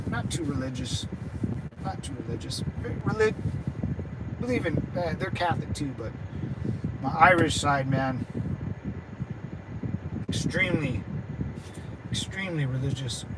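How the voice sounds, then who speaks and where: A young man with a high voice rambles through casual live-stream chat, stumbling over restarts and breaking into laughs. He is outdoors near traffic, and its loud roar runs under everything he says. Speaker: livestreamer and veteran chatting with viewers. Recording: loud traffic noise behind.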